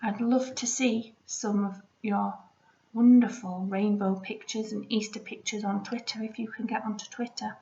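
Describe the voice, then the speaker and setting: A woman primary school teacher talking to young children in an encouraging, expressive storytelling style. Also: mid-range voice, normal speed, gravelly. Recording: roomy, slightly dull home recording.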